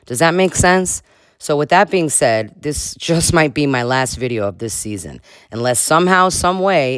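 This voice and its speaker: A man's voice: medium pitch, clear, resonant tone, no echo, clean studio sound. A man hosting a comedic reaction video, trading sarcastic asides with little chuckles.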